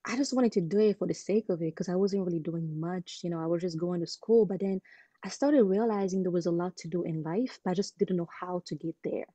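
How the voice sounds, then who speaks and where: A woman's voice: on the low side, brisk, no echo. A woman in her twenties, originally from Congo, speaking earnestly as an interview guest over a video call, telling her story with lots of "um" and "you know". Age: 20s. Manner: earnest, animated storytelling with many fillers. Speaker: guest, pageant titleholder. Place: home room indoors.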